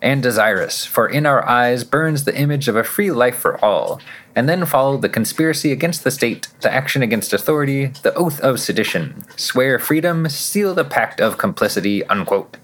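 A man presenting a podcast, reading scripted news items and quotations with emphatic, measured phrasing. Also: medium pitch, expressive, fairly bright tone.

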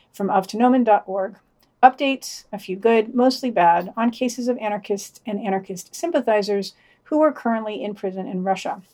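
A woman's voice: mid-range voice, a little mellow. A woman co-hosting a podcast, reading scripted news in a dry, sardonic way with wry, opinionated asides.

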